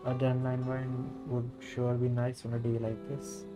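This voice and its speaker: A male game streamer, native in Hindi, reads character lines aloud in a mid-pitched voice. Game music plays clearly behind him. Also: monotone, normal speed, rough recording. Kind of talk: reading game dialogue aloud on livestream.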